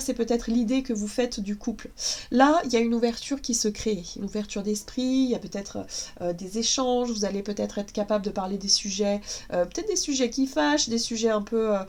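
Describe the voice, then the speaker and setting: A woman's voice: fairly high pitch, darkish tone. A woman with a cold, giving a casual, hesitant tarot reading to viewers. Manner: hesitant, many fillers and restarts. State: has a cold, sniffly. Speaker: tarot card reader.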